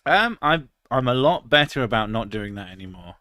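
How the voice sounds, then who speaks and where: A man's voice: mid-range voice, sing-song, normal speed, sharp tone, clean tone, no echo, studio quality. A British man in his thirties chatting casually on a stream, crude and sarcastic, swearing freely. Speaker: streamer reading chat. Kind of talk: casual live stream chat.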